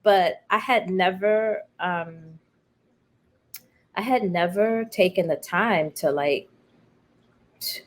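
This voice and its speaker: A woman playwright speaking as an interview guest, telling her story in a candid, thoughtful, halting way. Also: fairly low pitch. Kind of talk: reflective conversational storytelling.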